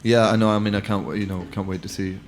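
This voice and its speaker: A lead actor answering a press question in a relaxed, unhurried way with fillers. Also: male voice, lowish voice, slight background noise. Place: press conference hall.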